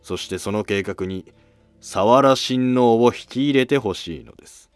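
A man playing a calm, scheming, self-sacrificing court noble in formal archaic speech for a history drama. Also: on the low side, a little slow, clean tone, no room sound, soft background music, lightly acted. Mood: grave resolve.

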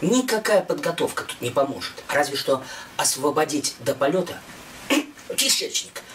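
A man's voice: on the high side, expressive, moderate pace, fairly bright tone, a little hiss or hum. A middle-aged man narrating his own scripted story in a dramatic, emphatic, theatrical way. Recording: music behind a slightly distant voice.

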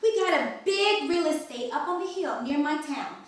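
In a very echoey room, far from the mic, a woman acts a twelve-year-old girl with a high, lively voice. She is chatty, bright and boastful, as in a live stage play reading. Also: moderate pace. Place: wood-panelled mansion room, indoors. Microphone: distant camera microphone. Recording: very echoey room, distant mic, faint hiss.

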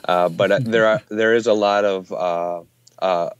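A man's voice: fairly low pitch, fairly even intonation, measured pace, smooth, dry recording. A man, co-founder of a small brewery, answering interview questions casually with many fillers and restarts. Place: Ohio hometown brewery.

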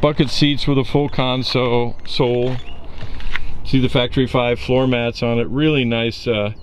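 A man with a voice of ordinary pitch walks around a car and lists its features in an easygoing, steady way, now and then pausing on an "uh." He is recorded outdoors on a camera mic, with faint background noise behind him. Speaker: car dealer giving a walkaround. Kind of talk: casual walkaround car presentation. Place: outdoors in a park parking lot.

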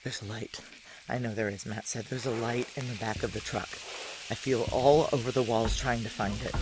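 Hard-edged woman on the run, intense first-person noir sci-fi drama, halting urgency, noisy vehicle ambience. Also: very deep voice, steady tone, darkish tone. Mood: anxious. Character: tough, desperate female fugitive narrating a sci-fi heist.

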